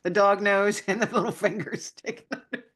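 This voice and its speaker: A middle-aged woman hosting a casual interview podcast, chatty and laughing often, with a low voice. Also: moderate pace, a bit bright. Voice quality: low voice, naturally raspy when singing. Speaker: podcast host.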